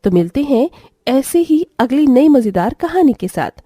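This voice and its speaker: A woman's voice: sits high, sing-song, a little slow, mellow, slightly rough, no room sound, clean studio sound. A woman giving a scripted storytelling address to viewers. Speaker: story narrator and voice performer.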